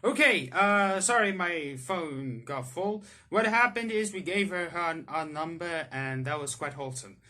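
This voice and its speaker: A young man hosting a gaming video, reading the game's text aloud and chatting about it with restarts and quick laughs. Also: fairly high pitch, animated, sharp tone. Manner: chatty, self-correcting, breaks into laughs and reactions. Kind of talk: let's-play reading game text aloud with comments.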